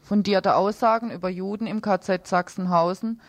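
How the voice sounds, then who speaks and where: Lady reading reports on a radio news show, mid pitch, really dry studio sound, no echo. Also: steady tone, moderate pace.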